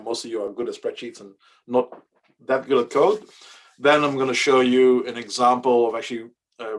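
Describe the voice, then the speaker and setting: Dutch-native man teaching coding online. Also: medium pitch. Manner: casual, halting, many fillers and restarts. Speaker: workshop presenter. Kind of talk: online coding workshop demo.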